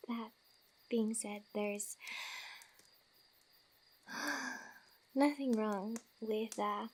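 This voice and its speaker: A woman playing a friendly, teasing fantasy wanderer who gives comfort in a soft-spoken, giggly, rambling ASMR roleplay. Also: medium pitch, fairly even intonation, very slow. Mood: tender, comforting.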